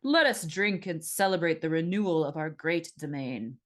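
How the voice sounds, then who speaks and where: A woman's voice: low-pitched, lively intonation, moderate pace. A giant, jovial god of the sea, voiced by a woman narrator hosting a feast in a fantasy audiobook. He makes his speeches grandly and with ceremony. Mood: grand, celebratory.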